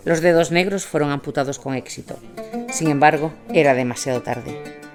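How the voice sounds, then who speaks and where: A man's voice: a little high, normal speed. A man reading scripted documentary narration as the narrator. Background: music under the voice.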